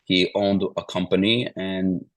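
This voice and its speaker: A man's voice: low-pitched, fairly even intonation, measured pace, no room sound, low-quality recording. A man in his forties from Ukraine, telling his story as an interview guest, meandering with restarts and repeated phrases. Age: 40s.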